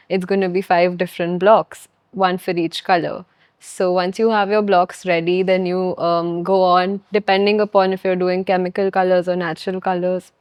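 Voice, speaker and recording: A woman's voice: on the low side, a little mellow, clear, resonant tone, no room sound. A young woman from Delhi with an Indian accent, explaining things casually in a conversational way. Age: young adult. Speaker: design student explaining a craft.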